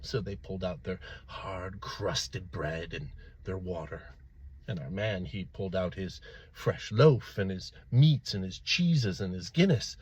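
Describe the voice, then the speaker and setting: A man telling a folktale aloud in a theatrical way, with dramatic pauses and swells. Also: sits low, a little mellow, slightly rough, dry recording. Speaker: storyteller. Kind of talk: animated oral folktale storytelling.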